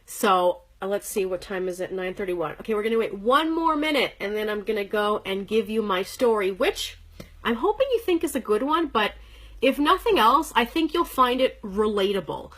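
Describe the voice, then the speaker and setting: A chatty, upbeat woman in her thirties from Canada, speaking from a corner of her living room in a casual livestream. She talks straight to her viewers with a mid-range voice, greets commenters by name and fills pauses with plenty of ums. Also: mid-range voice, expressive, a bit bright. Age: 30s. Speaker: direct sales presenter.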